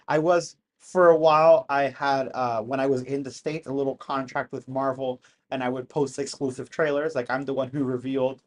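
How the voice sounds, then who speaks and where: A person in their thirties chatting casually with live-stream viewers from home in the countryside, rambling and laughing often. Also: medium pitch. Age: 30s.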